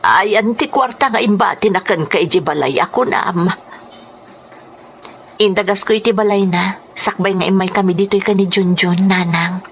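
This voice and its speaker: An actress playing an earnest, gentle young mother in a radio drama, speaking with feeling. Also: mid-range voice, moderate pace, natural voice. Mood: regretful. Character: earnest, pleading young mother, tender with her child. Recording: faint background noise.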